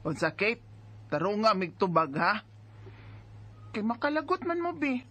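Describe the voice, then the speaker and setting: A woman's voice: medium pitch, very animated, measured pace, sharp tone, breathy edge, heavily acted. A woman acting a strict, worried middle-aged mother who scolds with indignant exclamations in a Bisaya radio drama. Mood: indignant, scolding.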